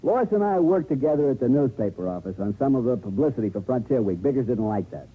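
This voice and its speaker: A man's voice: mid-range voice, very animated, fast, husky. An American man playing a brash, slangy, money-minded promoter in an old-time radio drama. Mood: defensive. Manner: slangy, breezy. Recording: vintage narrow-band radio, faint noise.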